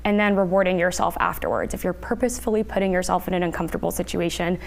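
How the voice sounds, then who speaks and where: A woman's voice: lowish voice, fast, creaky. A woman speaking as a therapist guest, giving explanatory answers in a news interview. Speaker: therapist guest, licensed counselor.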